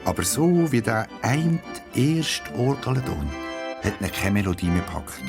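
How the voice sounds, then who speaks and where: A man's voice: mid-range voice, very animated, a little slow, over loud music. A man with a Swiss German accent narrating a scripted story for children.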